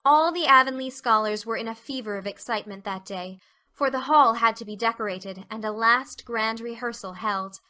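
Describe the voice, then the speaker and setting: A woman's voice: fairly high pitch, normal speed, sharp tone. A woman reading scripted storybook narration as the narrator of a dramatized novel.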